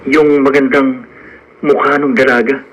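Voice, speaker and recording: An actor playing an earnest, hopeful young farmhand with a wistful manner in a Filipino radio drama. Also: male voice, medium pitch, little pitch movement, unhurried, a bit bright, rough recording. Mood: dreamy, hopeful. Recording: radio broadcast with faint noise.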